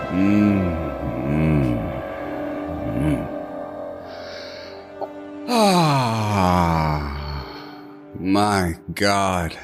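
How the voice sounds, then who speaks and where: Man acting a deep-voiced, creepy, gloating serial killer. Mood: rapturous, gloating. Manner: theatrical, savoring, deliberate phrasing with sudden swings. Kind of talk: dramatic first-person horror monologue.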